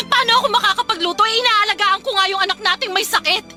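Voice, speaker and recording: A woman's voice: extremely high pitch, fast talker, bright, forceful tone, soft background music, full character voice. An actress playing a long-suffering, anguished mother and wife who pleads and protests in a radio drama. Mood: pleading. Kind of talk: Tagalog radio drama acting.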